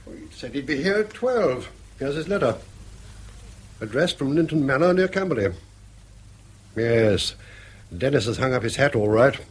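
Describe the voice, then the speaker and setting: Sardonic elderly RP father, period radio drama: jaunty, wry, rough-voiced, animated. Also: mid-range voice, normal speed, pauses often. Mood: wry, pleased. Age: older. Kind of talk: mid-century British radio drama acting.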